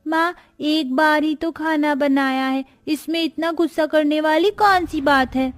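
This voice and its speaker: A woman plays a dutiful little schoolgirl in a cartoon. The voice is very high, meek and earnest, with a sensitive feel. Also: fairly even intonation, measured pace. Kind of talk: Hindi cartoon moral story.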